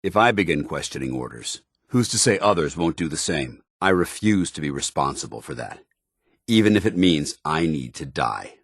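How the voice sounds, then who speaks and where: An American actor playing a stern, disciplined armored soldier in a video game, speaking in a formal, clipped, commanding way. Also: male voice, rumbling low, very animated, moderate pace, fairly bright tone, slightly rough, no room sound, studio quality, exaggerated voice. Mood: solemn, resolute. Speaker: video game companion character. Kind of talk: scripted game dialogue and combat barks.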